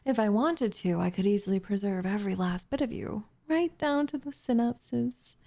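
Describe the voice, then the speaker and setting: Woman playing a soft, whispery, possessive witch, slightly creaky. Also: mid-range voice, animated, normal speed. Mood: smug, teasing. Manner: cooing, teasing, smugly condescending, often whispery. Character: doting, possessive young witch turning captive into pet cat. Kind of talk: ASMR roleplay, soft intimate delivery.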